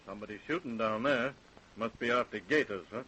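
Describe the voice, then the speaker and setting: A man's voice: lowish voice, a little fast, close to an everyday voice. A man playing a jealous, hot-tempered small-town husband in an old radio crime drama, talking in folksy, clipped, accusing phrases. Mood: weary, uneasy. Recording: faint hiss, old radio recording.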